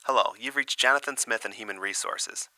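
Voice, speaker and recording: A man playing a courteous, businesslike office employee on the phone in a scripted training example, brisk and polite. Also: mid-range voice, pushed and bright, no room sound.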